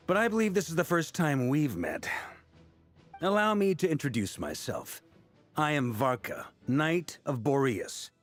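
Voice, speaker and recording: Man acting a seasoned knight commander, animated, easygoing. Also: medium pitch, lightly acted. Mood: confident, welcoming. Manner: self-assured, casual, wry. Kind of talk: video game voice acting.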